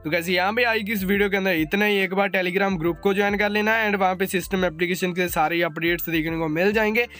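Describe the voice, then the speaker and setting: High-pitched man presenting tech updates. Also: steady tone, fast, hardly any pauses, a bit bright. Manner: mixes English terms into speech, addresses viewers informally. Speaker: tech channel host. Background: background music.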